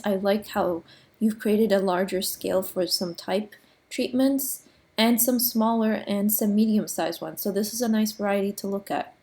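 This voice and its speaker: A woman teacher giving recorded design critiques to her class, with allergies and some sniffling, talking in a relaxed way with frequent ums. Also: mid-range voice, creaky. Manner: relaxed, frequent ums and uhs, gently corrective.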